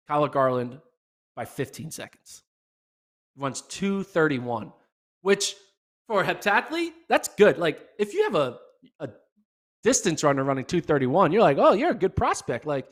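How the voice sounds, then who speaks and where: A man hosting a casual solo podcast recap, chatty and excitable, with a voice going hoarse. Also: a little high. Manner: excitable, chatty, many fillers. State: losing his voice. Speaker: podcast host.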